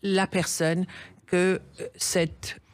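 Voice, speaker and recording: A middle-aged woman from Iran, a charity founder telling her story in a radio interview in earnest, halting phrases with restarts. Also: lowish voice, little pitch movement.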